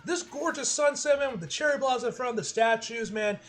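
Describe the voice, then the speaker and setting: A man with a high voice talks quickly through an episode review. He sounds like an excitable fan, rambling casually in loose slang with plenty of swearing. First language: English. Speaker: anime reviewer.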